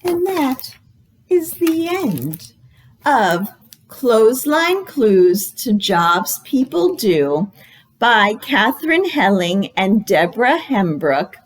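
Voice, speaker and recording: A woman hosting a storytime for young children, reading aloud in an exaggerated, encouraging way and pausing after questions to invite guesses. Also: fairly high pitch. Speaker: children's librarian, storytime host.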